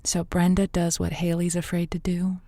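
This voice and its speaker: A woman's voice: lowish voice, completely flat delivery, warm tone, no echo, lightly acted. Woman playing an encouraging radio advice hostess, dry studio sound. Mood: probing. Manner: encouraging, theatrical, halting pep talk. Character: Josie, gushing adult female late-night radio advice host. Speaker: radio call-in show host. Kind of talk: semi-improvised audio drama, radio advice show.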